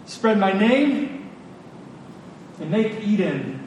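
Man, preacher, high voice, emphatic repetition, colloquial delivery, very echoey room. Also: big pitch swings, very slow, a little mellow. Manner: emphatic, repeats phrases for stress; informal, colloquial asides to listeners. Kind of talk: sermon. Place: large room, speaking to a congregation. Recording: echoey room, distant mic, faint rumbling noise.

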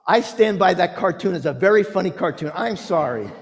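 A male preacher delivers a dramatic storytelling sermon in a large hall with long reverb. His voice sits fairly high for a man. He speaks emphatically and theatrically, shaping phrases with pauses and swings in volume. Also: animated, some chatter behind, a little hiss or hum.

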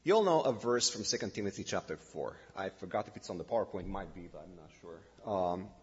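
A man's voice: sits low, animated, slightly rough. Middle-aged man, Romanian accent, pastor, hesitant delivery, dull lo-fi sound in a big echoing hall. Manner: halting, many fillers and self-corrections. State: nervous. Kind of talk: informal sermon introduction. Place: church hall.

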